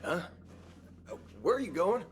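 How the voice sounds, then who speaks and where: An actor playing a swaggering, wry cowboy gunslinger in a video game, talking in folksy slang. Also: male voice, high-pitched, very animated. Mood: sly. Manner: folksy slang, slow drawling delivery. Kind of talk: video game cutscene acting. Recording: game audio with background music.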